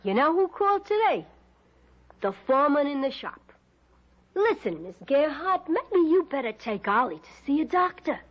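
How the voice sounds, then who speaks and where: A woman playing a nagging, suspicious, sharp-tongued wife in an old-time radio drama, sarcastic and scolding. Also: high voice, big pitch swings, normal speed. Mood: sarcastic, accusing. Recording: dull lo-fi radio recording.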